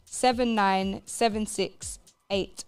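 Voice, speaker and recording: A woman's voice: medium pitch, fairly even intonation, slow, clear, resonant tone, faint music behind. A woman presenting a live radio show in an upbeat, emphatic way, repeating phrases for stress.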